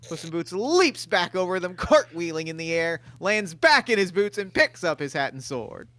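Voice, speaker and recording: A man reading the stage directions in a table read, in a quiet, matter-of-fact way. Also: sits high, big pitch swings, normal speed, no echo.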